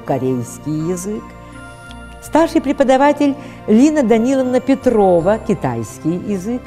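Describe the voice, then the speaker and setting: A woman giving a formal, deliberate spoken presentation. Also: fairly high pitch, dark tone. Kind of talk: spoken presentation introducing a cartoon project. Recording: echoey hall, music behind.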